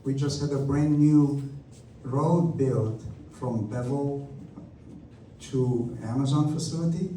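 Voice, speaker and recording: A man with a Romanian accent answers questions at a community meeting in a church hall. He talks in a friendly, chatty way and pokes fun at himself. His voice is dark and mellow, and it is picked up from a distance in an echoey room with a faint hum underneath. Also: mid-range voice, slow. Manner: friendly, talkative, self-deprecating. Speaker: airport representative. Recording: echoey hall, speaker far from mic.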